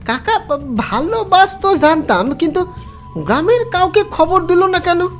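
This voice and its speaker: Man acting a shaken, high-pitched young villager. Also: very animated, a bit bright, faint music behind, full character voice. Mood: shaken, bewildered. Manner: stammering, halting.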